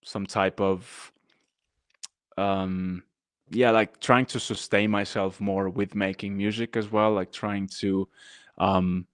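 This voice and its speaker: Soft-spoken man, smooth and flat. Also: lowish voice, takes it slowly, dry recording, clean studio sound. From Dolomites region of northern Italy. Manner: rambling, many fillers and restarts. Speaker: guest, activist rapper. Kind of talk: casual interview talk.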